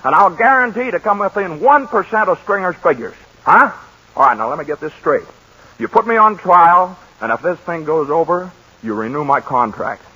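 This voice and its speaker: An actor playing an excitable, scheming middle-aged pollster in a 1940s radio drama, swinging from gloom to eager glee. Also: male voice, high voice, moderate pace, husky, full character voice. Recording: dull old radio broadcast recording.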